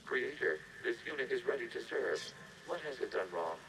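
A man playing a calm, dutiful robot intelligence in a video game, speaking in formal, measured, literal phrases. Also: medium pitch. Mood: earnest, questioning. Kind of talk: scripted video game dialogue. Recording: clearly noisy game audio capture.

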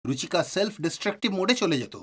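A man's voice: high-pitched, animated, very fast, a bit bright. An actor playing a reassuring middle-aged male doctor and family friend in an audio drama, explaining with authority and some English words. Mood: grave, explanatory.

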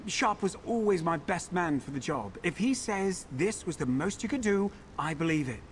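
A man's voice: high-pitched, lively intonation, normal speed, a little hiss or hum. An actor voicing a pampered, indignant male elf in a fantasy game, complaining in a prissy, theatrical way. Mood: disgusted, indignant.

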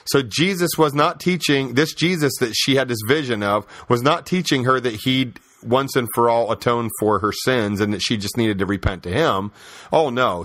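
A man with a medium-pitched voice hosts a podcast, recorded close and dry with little room sound. He delivers opinionated religious commentary as conversational storytelling. He leans on repetition and rhetorical questions to press his points emphatically. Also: a bit bright, no echo. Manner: emphatic, repeating phrases for stress. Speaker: podcast host.

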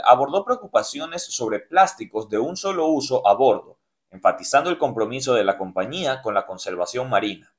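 A man in his thirties hosting a cruise news show, reading headlines and then commenting earnestly with many fillers and restarts, in a small indoor room. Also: fairly low pitch. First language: Spanish. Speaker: cruise news host and travel agent. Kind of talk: news roundup with personal commentary. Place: small indoor room, plain beige wall.

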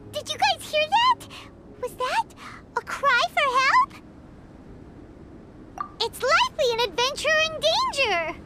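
A woman voicing a tiny, excitable fairy girl companion in a video game, squeaky, childlike and chirpy, often exclaiming. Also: heavy background noise, full character voice. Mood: urgent, surprised. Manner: exclaiming, chirpy, refers to herself in third person. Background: music.

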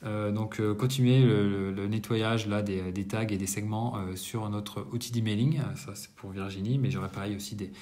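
A man in his thirties giving a casual monthly business debrief to camera in a bright room, talking conversationally with frequent hesitations and self-corrections. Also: lowish voice, fairly even intonation, normal speed, hardly any pauses. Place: indoors, bright apartment room.

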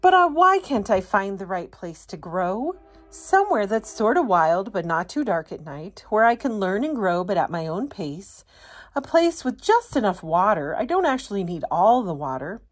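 A woman's voice: mid-range voice, very animated, moderate pace. Woman doing a little girl houseplant from a storybook, dramatic and eager, voice swoops about. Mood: discouraged.

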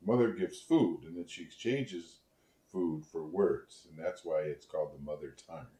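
A man's voice: deep voice, moderate pace, mellow, reverberant. An older man conducting an oral history interview, speaking reflectively, seated in a living room. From Netherlands and United States.

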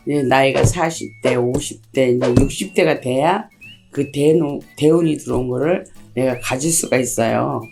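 A middle-aged woman, a shaman fortune teller, hoarse from a cold, explaining things slowly and plainly in an interview. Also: low voice, mellow. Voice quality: husky, gravelly. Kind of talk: interview answers.

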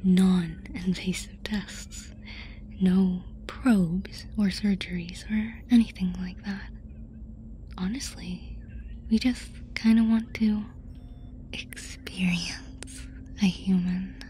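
An eager alien woman played by an actress whispers in a mellow, breathy voice for an ASMR roleplay. She is slow, casual and affectionately doting, over faint background ambience. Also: mid-range voice, fairly even intonation, slight background noise, lightly acted. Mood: friendly, eager.

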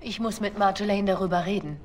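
A woman's voice: medium pitch, fast, darkish tone, a little hiss or hum, lightly acted. An actress voicing a young female spy in a video game, earnest and questioning, loyal but worried. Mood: uneasy, earnest. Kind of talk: dramatic video game dialogue.

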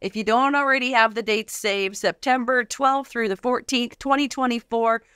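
A woman's voice: fairly high pitch, steady tone, moderate pace, a bit bright, clear, resonant tone, no room sound. An American woman in her thirties hosting a casual studio interview in an enthusiastic, emphatic way. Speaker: talk show host. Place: indoor TV studio.

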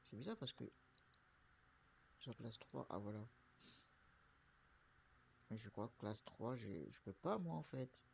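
Sick guy streaming a truck game, gravelly voice, lots of euh and restarts, faint clicks in the back. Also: mid-range voice, big pitch swings, slow pace, pauses often, a little hiss or hum. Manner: rambling, hesitant, many restarts and fillers. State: has the flu. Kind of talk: casual gameplay commentary.

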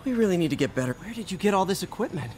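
An actor playing an eager, wisecracking young American superhero scientist in a video game, chatty and a little hesitant. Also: male voice, high-pitched, very animated, very fast, a little mellow. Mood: friendly, curious. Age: young adult. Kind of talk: naturalistic video game voice acting. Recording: game audio with clear background noise.

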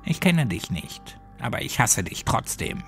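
A man's voice: mid-range voice, big pitch swings, gravelly, faint music behind. Man voicing a menacing, cruel little girl. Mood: cold menace. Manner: menacing, deliberate.

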